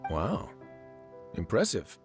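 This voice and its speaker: A wry fortress warden in a video game. His low voice swings in pitch, dry and teasing, with clear music behind it. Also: huge pitch swings, takes it slowly, heavily acted. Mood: amused, teasing.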